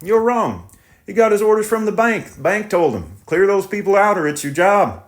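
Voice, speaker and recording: A man's voice: sits high, sing-song, normal speed, pitched above the performer's own voice. A man reading aloud, voicing a terse, defensive young tractor driver in clipped working-class speech. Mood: resigned.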